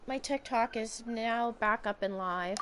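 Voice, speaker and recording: A streamer in their thirties from Canada, chatting casually with viewers in a hesitant, halting way. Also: mid-range voice, a bit bright, a little hiss or hum. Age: 30s. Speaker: game streamer. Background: game music and dialogue.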